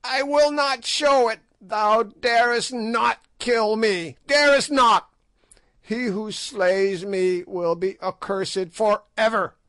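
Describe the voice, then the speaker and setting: Wicked ancient witch, voiced by a man: shrill, mocking, dry close sound. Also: slow, fairly bright tone, no room sound. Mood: defiant. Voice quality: shrill, cackling. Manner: screeching, mocking. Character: ancient shrieking female witch, wicked and gloating. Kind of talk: audiobook character voice.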